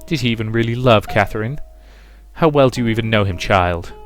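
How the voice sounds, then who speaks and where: A man's voice: fairly low pitch, lively intonation, a little fast, lightly acted. A man voicing a domineering, suspicious mother who presses her child insistently, in a visual novel. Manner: insistent, probing, emphatic. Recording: music behind the voice.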